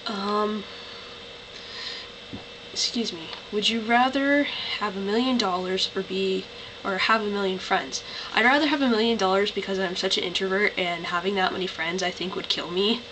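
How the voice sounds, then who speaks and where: A young woman with a Canadian accent talks casually to her webcam, her voice a little lower from tiredness. She rambles and fills pauses with "like" and "um". There is clear background noise in the recording. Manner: chatty, rambling, lots of "like" and "um". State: tired, voice lower than usual. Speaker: vlogger. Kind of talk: casual accent-tag vlog. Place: bedroom. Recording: noisy home webcam recording.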